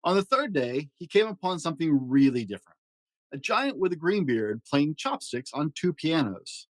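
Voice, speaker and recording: A man narrating a children's story aloud in an expressive, animated way with dramatic pauses. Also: fairly high pitch, dry recording. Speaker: podcast host reading a children's storybook aloud.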